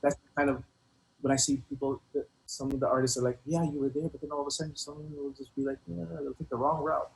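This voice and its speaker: A man's voice: mid-range voice, flat delivery, gravelly, rough recording. Rambling man over noticeable noise. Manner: rambling, earnest, halting, many repeated phrases. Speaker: hip-hop artist being interviewed. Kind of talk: casual conversational interview.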